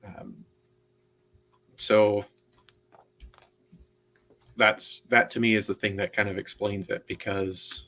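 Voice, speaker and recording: A man on a book club panel, explaining in a halting way with many restarts and checks of "right?". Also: fairly low pitch, little pitch movement, sharp tone. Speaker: co-host and panelist. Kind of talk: casual online book discussion.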